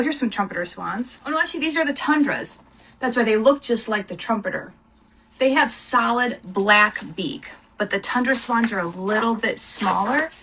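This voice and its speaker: A woman's voice: a little high, bright, forceful tone, a little hiss or hum, poor audio. A woman hosting a live lesson for children, explaining things instructively, spelling out words and speaking directly to her viewers. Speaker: homeschool teacher, lesson host.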